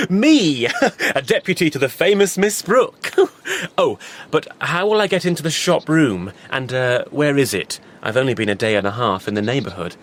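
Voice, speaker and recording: An actor in a Victorian radio drama playing an earnest young man searching for his lost fiancée, halting and hesitant, often breaking into nervous laughter. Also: medium pitch, sing-song, slight background noise, close to an everyday voice. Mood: excited.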